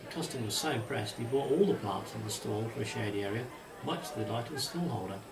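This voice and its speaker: A man's voice: medium pitch, lively intonation, quick pace, vocal fry, a loud crowd. A man reading a children's story aloud in a narrator's storytelling style. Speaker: author reading own story. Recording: distant mic, roomy echo, faint hiss.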